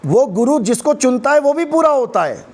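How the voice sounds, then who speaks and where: High-voiced hoarse man, mocking debater. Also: big pitch swings, normal speed, slight background noise. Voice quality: combative, mocking. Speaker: spiritual teacher in debate. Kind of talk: heated religious debate.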